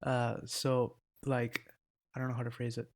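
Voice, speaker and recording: A man's voice: medium pitch, completely flat delivery, measured pace. A teenage boy chatting casually in a rambling, self-interrupting vlog, swearing now and then, into a desk mic. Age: teens. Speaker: vlogger. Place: indoors at a laptop.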